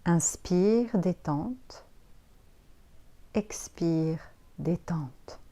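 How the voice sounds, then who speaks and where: A woman leading a guided yoga relaxation as an instructor, giving calm, soothing instructions with pauses. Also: on the low side.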